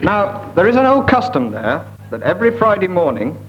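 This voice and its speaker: A man's voice: sits high, very animated, moderate pace, over loud music. A man improvising a comic story as a panel show contestant, on a muffled, noisy broadcast recording. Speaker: comedy panellist. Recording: muffled broadcast with loud background noise.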